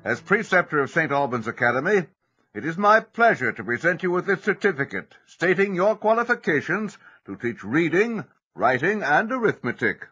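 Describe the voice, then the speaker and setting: Formal male schoolmaster, audio drama, husky and animated, slightly dull recording. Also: a little high, moderate pace, faint music behind, exaggerated voice. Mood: formal, congratulatory. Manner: formal, declamatory. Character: formal, encouraging male academy preceptor.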